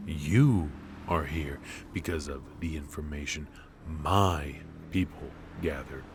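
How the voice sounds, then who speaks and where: A man playing a suave, ruthless mafia boss in a noir roleplay audio drama, taunting and teasing with menace and drawn-out pauses. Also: rumbling low, a little hiss or hum. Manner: unhurried, taunting, drawling with pauses and soft chuckles.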